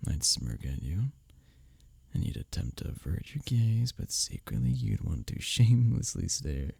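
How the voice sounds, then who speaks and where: Male actor as arrogant young office rival, gravelly, slow and deliberate, teasing, intimate ASMR roleplay. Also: fairly low pitch, big pitch swings, warm tone, dry recording, full character voice. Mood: smug, teasing. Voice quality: breathy, often dropping toward a whisper. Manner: smirking, teasing, halting when flustered. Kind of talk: intimate ASMR audio roleplay addressed to the listener.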